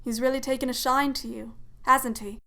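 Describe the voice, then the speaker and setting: A woman's voice: high-pitched, fairly even intonation, normal speed, bright, forceful tone. A woman acting a friendly, teasing young woman who looks after children in an amateur audio drama. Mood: warm.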